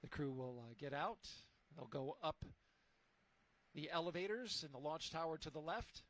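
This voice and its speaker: A man giving live launch commentary in a relaxed, upbeat, conversational way. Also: on the high side, moderate pace.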